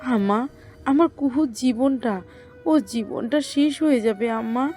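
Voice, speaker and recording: A desperate mother, acted by a woman, pleads tearfully in a fairly high voice in an audio drama. She implores like someone about to lose everything, over faint music. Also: measured pace, warm tone, lightly acted. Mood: tearful pleading. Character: desperate, pleading middle-aged mother and daughter-in-law.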